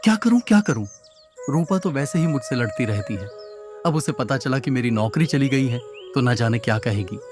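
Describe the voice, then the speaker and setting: A man voicing a humble young husband who cooks at a roadside eatery in a cartoon tale. He sounds earnest and pleading, in a mid-pitched voice. Music is clearly audible underneath. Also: animated, normal speed, natural voice. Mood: worried, pleading. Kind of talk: Hindi cartoon moral story voice acting.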